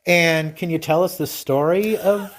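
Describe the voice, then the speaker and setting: A man's voice: fairly high pitch, measured pace, no room sound. An American man hosting a podcast in a folksy, chatty way, reading sponsor messages and interviewing guests. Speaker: podcast host. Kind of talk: sponsor reads and conversational interviewing.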